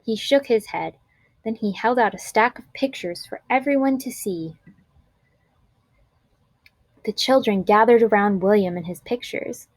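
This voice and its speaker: A woman narrating a children's storybook aloud in an expressive, clearly enunciated storytelling manner. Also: medium pitch, moderate pace, dry recording, poor audio.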